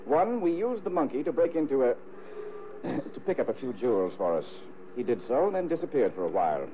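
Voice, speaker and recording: A pompous gentleman crook, acted by a man in a vintage radio mystery, on a narrow recording with faint noise. His ornate, fussy speech swings dramatically up and down as he imperiously orders his associates about. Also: medium pitch, big pitch swings, brisk, natural voice. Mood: fussy, calculating. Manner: pompous, ornate, hesitating with ums. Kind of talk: 1950s radio mystery acting.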